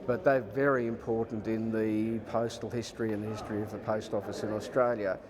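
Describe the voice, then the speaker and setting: Flat-voiced man over crowd chatter. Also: fairly low pitch, fairly quick. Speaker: interviewee. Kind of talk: news interview.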